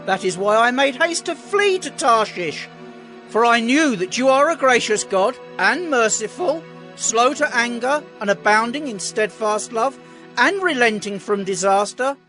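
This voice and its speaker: Brief: actor as Jonah, a desperate male prophet, very high and slow, earnest pleading. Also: lively intonation, sharp tone, faint music behind, heavily acted, poor audio. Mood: bitter. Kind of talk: audio drama acting.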